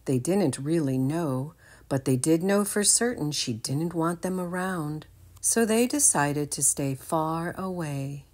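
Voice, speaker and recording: A woman's voice: low-pitched, animated, takes it slowly, a little mellow. A woman reading a children's storybook aloud expressively.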